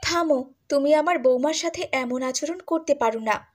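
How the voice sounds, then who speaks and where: A woman playing a decisive, outspoken older mother of a groom in a cartoon moral tale, husky and emphatic. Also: sits high, normal speed, lightly acted. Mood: firm.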